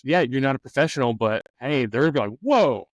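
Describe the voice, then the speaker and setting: A man's voice: medium pitch, expressive, brisk, dry recording. A man talking casually in a loose, informal way, thinking aloud as he offers advice in a discussion. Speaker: discussion panelist on a game master advice show. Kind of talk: casual chat.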